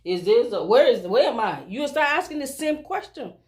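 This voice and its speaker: A woman's voice: on the high side, sing-song, fairly quick, darkish tone. A Liberian woman with a Liberian accent, a vlogger talking straight to her viewers in an emphatic opinion commentary, full of rhetorical questions.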